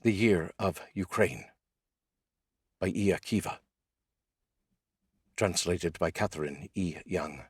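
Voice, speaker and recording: A man with a husky voice and a wry, deliberate way of phrasing, hosting and reading poetry. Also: low voice, no room sound, clean studio sound. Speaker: host of a poetry reading series, voice actor. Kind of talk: informal spoken intro and poetry reading.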